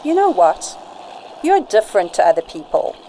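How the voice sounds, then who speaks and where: Woman acting a poised, flirtatious informant, lively intonation. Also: medium pitch, normal speed, a little hiss or hum, natural voice, low-quality recording. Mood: friendly, curious. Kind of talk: amateur radio drama acting.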